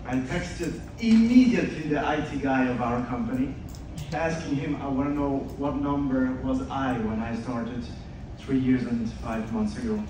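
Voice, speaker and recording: A man's voice: mid-range voice, normal speed, hardly any pauses. Man in an echoing hall. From Switzerland. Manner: earnest storytelling, direct address to audience. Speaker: speaker at an event, podcast host. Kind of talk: motivational stage talk. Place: large event hall. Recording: distant echoey hall with noise.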